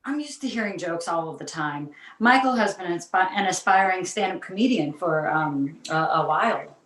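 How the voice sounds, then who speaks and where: A woman's voice: fairly low pitch, expressive, moderate pace, fairly bright tone. A woman playing an exasperated mother in her thirties in a live comedy table read, protesting as she talks. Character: exasperated 35-year-old mother of a talking baby. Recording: echoey, distant from the mic.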